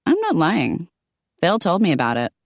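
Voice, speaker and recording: A woman's voice: lowish voice, wildly swooping pitch, normal speed, no room sound, clean studio sound, voice pushed up, exaggerated voice. A woman voicing a smug, gossiping woman with a teasing manner. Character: catty punk-styled woman who gossips.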